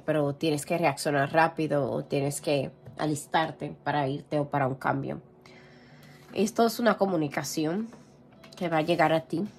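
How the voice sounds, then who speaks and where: A hoarse woman reading tarot cards in a casual, gentle way, clearing her throat often. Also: low voice, slight background noise. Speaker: tarot reader. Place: indoor room with a tarot table. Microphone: camera over table, hands seen.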